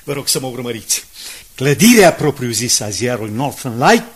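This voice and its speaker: A man's voice: medium pitch, sing-song, close to an everyday voice. An actor playing a brash, confident schemer of a newspaperman in a radio drama, with an emphatic, persuasive delivery. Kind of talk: 1980s Romanian radio drama acting.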